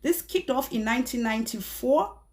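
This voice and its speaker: A woman in her thirties, a little hoarse from a cold, telling a true-crime story to camera in a small indoor room, chatty with many fillers and restarts. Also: a little high, animated, moderate pace, a little mellow.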